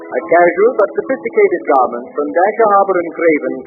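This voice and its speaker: An actor playing a polished, gushing fashion announcer and reporter in 1930s radio comedy, showy and polite, on an old recording. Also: male voice, sits high, lively intonation, a little fast, music in the background. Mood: grand. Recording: tinny 1939 radio recording.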